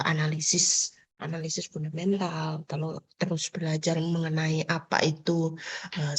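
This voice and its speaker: A woman's voice: on the low side, a little mellow, husky. A woman lecturer with an Indonesian accent, nasal and congested from a cold, chatting casually through an online class with many fillers and tag questions over a video call.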